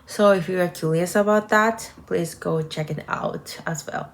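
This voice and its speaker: A woman's voice: fairly low pitch, a little slow. A young woman from Japan with a Japanese accent, talking casually and at length, full of filler words. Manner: talkative, uses many "like" fillers. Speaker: vlogger and nursing student. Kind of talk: casual chat to camera. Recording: guitar music playing behind.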